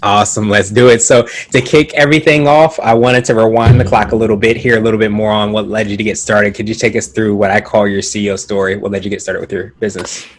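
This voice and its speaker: Male podcast host, upbeat, enthusiastic, lowish voice, dry sound. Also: fairly even intonation, fast talker, hardly any pauses, no room sound. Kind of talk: podcast interview.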